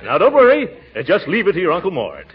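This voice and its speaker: An actor playing a blustering, put-upon but soft-hearted middle-aged uncle in a 1940s radio comedy, hesitant and chuckly. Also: male voice, high voice, wildly swooping pitch, fast. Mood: gently consoling. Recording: muffled old radio recording, noisy, audience.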